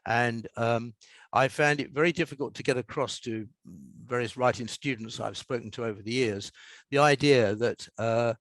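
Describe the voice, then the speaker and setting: An older man, a writer, speaking in a measured, explanatory and reflective way as a podcast guest. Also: medium pitch, fairly bright tone, no echo, low-quality recording. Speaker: guest author and scriptwriter. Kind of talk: reflective interview talk, with a short reading from own prose.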